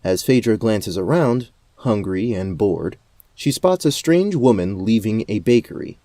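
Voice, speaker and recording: A man's voice: lowish voice, expressive, measured pace, mellow, dry recording. A man giving scripted narration for a fantasy audio drama. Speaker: narrator and game storyteller.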